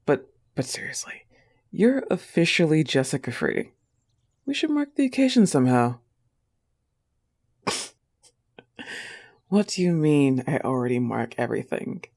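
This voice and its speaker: A young woman playing an affectionate, possessive, teasing wolf-girl girlfriend in an intimate roleplay audio, tender and often breathy. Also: sits low, darkish tone, full character voice. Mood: playful teasing.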